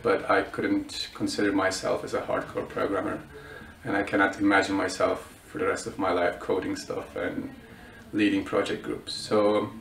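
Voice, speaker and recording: A man's voice: fairly low pitch, gravelly, a bit bright. A student in his twenties from Bulgaria, answering interview questions with many hesitations and restarts. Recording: roomy echo, mic at a distance.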